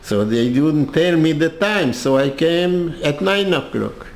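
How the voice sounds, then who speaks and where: An older man with a Hungarian accent tells his story very slowly, his voice sitting a bit high for a man. He stops and restarts his phrases again and again. The room around him is echoey. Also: animated. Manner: halting, with many restarts and repeated words. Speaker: interviewee. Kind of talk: personal storytelling.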